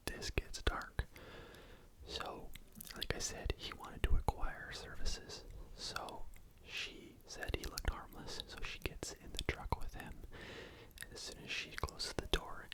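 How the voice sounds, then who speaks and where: An ASMR host whispering a true crime story to the microphone in a casual, rambling way, often saying "like" and "you know.". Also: low-quality recording. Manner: soft unvoiced whisper throughout.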